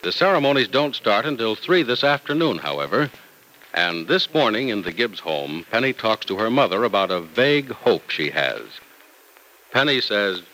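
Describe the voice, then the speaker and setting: Animated, bright-voiced vintage radio announcer man. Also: lowish voice, normal speed, poor audio. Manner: emphatic, polished. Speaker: announcer and narrator. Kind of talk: 1950s radio announcing, sales pitch and narration.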